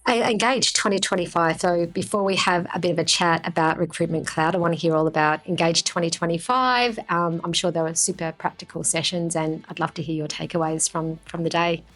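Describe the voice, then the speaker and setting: A woman's voice: lowish voice, fairly even intonation, a little fast, hardly any pauses, a bit bright. A woman doing a conversational business interview as a company founder, talking up a product. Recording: music behind the talk. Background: background music.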